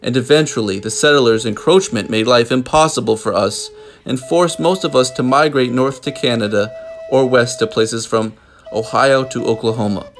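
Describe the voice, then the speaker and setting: A man reading a book aloud in a measured, deliberate way, pausing often between phrases. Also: mid-range voice. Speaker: storybook reader. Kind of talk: children's book read-aloud, scripted narration.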